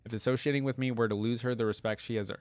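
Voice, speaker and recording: A man's voice: medium pitch, fairly even intonation, very fast, no room sound, close to an everyday voice. Man reading as a guarded young outcast acolyte girl. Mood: wary, reflective.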